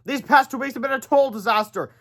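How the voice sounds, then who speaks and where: A man voicing excitable, impatient schoolboys in a storybook read-aloud, exclaiming with earnest urgency. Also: extremely high pitch, fast, pitched above the performer's own voice, exaggerated voice. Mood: indignant. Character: excitable, impatient young schoolboys, easily alarmed and indignant.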